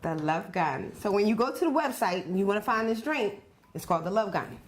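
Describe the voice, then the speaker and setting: A woman's voice: mid-range voice, expressive, brisk. A woman hosting a casual interview show, upbeat and playful, talking straight to viewers. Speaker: show host.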